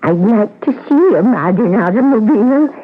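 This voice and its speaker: A woman's voice: fairly high pitch, animated, normal speed. An actress playing a mysterious, hospitable old village woman in a radio drama, with a quaint, folksy, vaguely drifting manner. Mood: wistful, vague. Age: older. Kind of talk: 1980 radio drama acting. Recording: noisy, narrow-band old radio broadcast.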